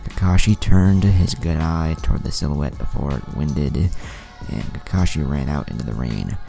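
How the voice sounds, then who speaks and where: A man's voice: a bass voice, moderate pace, gravelly, mellow. A man narrating a dramatic fan fiction story as a scripted reading. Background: music.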